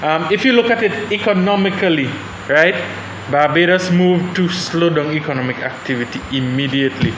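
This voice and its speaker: A man's voice: on the high side, normal speed, few pauses, a bit bright. A young man speaking on a panel, thoughtful and hesitant with many restarts. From Saint Vincent and the Grenadines. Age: young adult. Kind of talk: panel discussion. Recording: echoey room with background noise.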